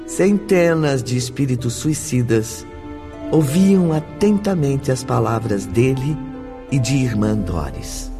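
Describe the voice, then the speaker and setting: Solemn radio drama narrator, slow storytelling, music behind. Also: male voice, mid-range voice, expressive.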